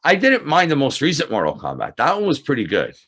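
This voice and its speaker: A man's voice: medium pitch, huge pitch swings, fast talker, a bit bright. A man hosting a casual movie chat, agreeable and often echoing what he hears. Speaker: podcast host.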